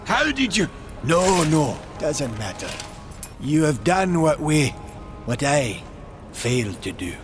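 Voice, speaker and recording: Eager young giant smith, folksy, highish male voice, very animated, game dialogue with music behind. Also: takes it slowly. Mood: excited. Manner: folksy, earnest, chatty. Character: eager good-natured young Maker smith, brawny giant. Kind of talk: video game character acting.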